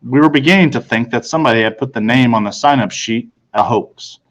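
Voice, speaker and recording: A man's voice: mid-range voice, brisk. A man reading a first-person storybook narration aloud. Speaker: audiobook reader.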